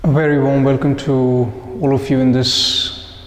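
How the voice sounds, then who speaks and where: A man leading a guided meditation session for a group, speaking in measured, deliberate phrases with pauses. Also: medium pitch, little pitch movement. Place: echoey indoor room, live group session. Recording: echoey room with long reverb.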